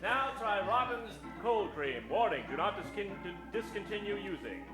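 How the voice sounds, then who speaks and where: A man's voice: sits high, very animated, normal speed. A man narrating and announcing an improvised old-time radio show with a dramatic delivery. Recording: echoey stage, music behind.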